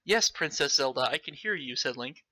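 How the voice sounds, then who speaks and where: A man's voice: mid-range voice, expressive, normal speed, sharp tone, no room sound. A man reading fan fiction aloud with theatrical, mocking delivery and breaking off to comment. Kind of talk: comedic dramatic read-aloud with commentary.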